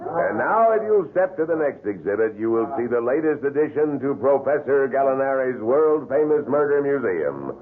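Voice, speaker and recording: A man's voice: mid-range voice, animated, moderate pace, close to an everyday voice. An actor playing a wax-museum tour guide with a showman's patter, in a 1970s radio drama heard over a tinny old broadcast. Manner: showman patter on tour, confiding when retelling.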